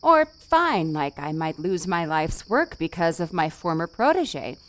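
A woman's voice: lowish voice, sing-song, measured pace. A woman acting in a fiction podcast as a cocky, playful and fiercely supportive wife, with a casual, emphatic, teasing delivery. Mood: exasperated, worried.

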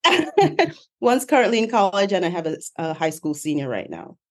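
Chatty woman with a lowish voice. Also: huge pitch swings, moderate pace. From Jamaica. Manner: chatty, laughs often, stacks up lists. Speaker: guest, author. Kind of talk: casual interview.

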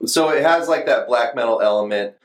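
A man's voice: mid-range voice, fairly quick. A man reviewing music in casual podcast chat, blunt and slangy, with some swearing. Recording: roomy, echoing room sound.

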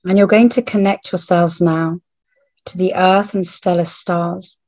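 A woman leading a guided meditation in a hushed, soothing manner, leaving long pauses between short phrases. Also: fairly low pitch, steady tone. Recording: roomy, phone-like narrowband audio.

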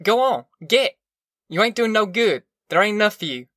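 Narrator playing a young country man, blunt, gruff, commanding, rural Southern American accent, terse dialect, audiobook, dry sound. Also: medium pitch, expressive, brisk, a bit bright, dry recording, natural voice. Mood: sharp, ordering.

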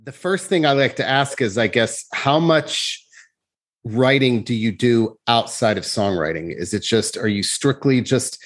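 A man's voice: medium pitch, measured pace, no echo. A man hosting an interview show, talking in a conversational, informal and explanatory way. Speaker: podcast host and interviewer.

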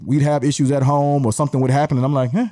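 Man, Atlanta Southern accent, middle-aged, slangy storyteller, mellow tone, dry sound. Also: medium pitch, little pitch movement, very fast, no echo. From Atlanta, USA. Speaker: podcast guest, music marketer. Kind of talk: casual storytelling chat.

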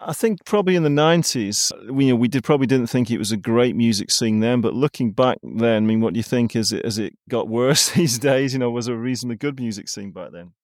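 A man's voice: mid-range voice, fast talker, hardly any pauses, no room sound. Chatty male interviewer, dry close sound. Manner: chatty, hesitant, with many you know and restarts. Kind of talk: casual interview.

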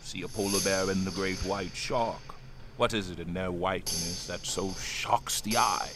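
An actor playing an obsessed old whaling captain in an audio drama, brooding and commanding, with grandiose archaic speech. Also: male voice, low voice, steady tone, a little slow. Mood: tender, brooding. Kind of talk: theatrical audio drama acting. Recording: clear background noise, stormy ship ambience.

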